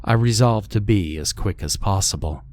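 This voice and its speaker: A man's voice: fairly low pitch, normal speed, a little mellow. A man reading a scripted first-person horror story as its narrator.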